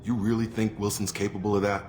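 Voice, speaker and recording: An actor playing a principled, steady soldier in a sci-fi video game, with an earnest, straightforward manner. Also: male voice, on the low side, quick pace, a little hiss or hum, natural voice. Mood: doubtful. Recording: clear music behind the voice.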